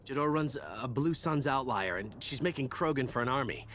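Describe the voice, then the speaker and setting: An actor playing a wounded, cynical mercenary grunt in a video game, gasping in pain and defensive. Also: male voice, mid-range voice, lively intonation, a little fast, fairly bright tone, soft background music, natural voice. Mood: nervous, defensive. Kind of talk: video game cutscene acting.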